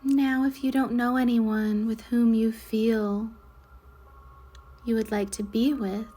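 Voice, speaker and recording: A woman leading a guided meditation in a soothing, measured way, with soft breathy endings to her phrases. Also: fairly high pitch, flat delivery. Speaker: guided meditation leader, Reiki instructor. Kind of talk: slow guided meditation narration.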